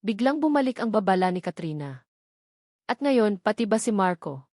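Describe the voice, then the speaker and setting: A woman's voice: mid-range voice, big pitch swings, normal speed, warm tone, dry recording, clean studio sound. A woman narrator reading a romantic story in a dramatic scripted storytelling style.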